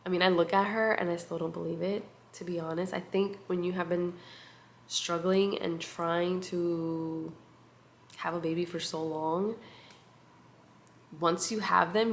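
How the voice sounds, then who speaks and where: A woman, talking to the camera in a casual catch-up vlog. She sounds nasal and congested, and she speaks softly and reflectively with many pauses and fillers. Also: lowish voice, slight background noise. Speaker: vlogger. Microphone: compact camera mic.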